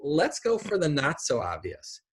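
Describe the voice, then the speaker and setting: A man's voice: fairly high pitch, wildly swooping pitch, measured pace, husky. A man in his thirties chatting casually about films on a video call from home, rambling and emphatic. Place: indoor room at home. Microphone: video call, sitting back from a computer.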